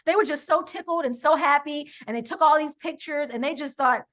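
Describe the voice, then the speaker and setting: A woman's voice: high voice, a little fast, fairly bright tone. A middle-aged American woman guest in a casual conversation, telling stories emphatically with lots of "like" and "right?". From United States. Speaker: podcast guest.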